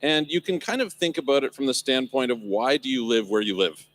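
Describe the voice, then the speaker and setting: A man speaks as a panelist before a live audience. His voice sits at an ordinary middle pitch, and he lays out his points in an articulate, upbeat manner. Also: fairly quick, a bit bright. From Canada. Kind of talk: live panel talk on stage. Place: event stage before an audience.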